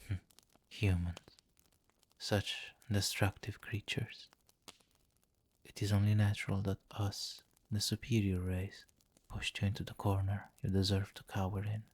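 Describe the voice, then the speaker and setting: A man voicing a seductive, domineering vampire king in an ASMR roleplay, softly commanding and condescending, coaxing in a hypnotic murmur that often nears a whisper. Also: on the low side, level tone, unhurried. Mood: haughty, mocking.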